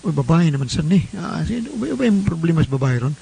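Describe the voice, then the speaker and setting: A man's voice: a little high, sing-song, quick pace, creaky, dark tone, husky. A man with a Cebuano Filipino accent hosting a radio show, chattily greeting listeners and introducing letters. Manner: chatty, addresses listeners directly. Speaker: radio host and letter reader.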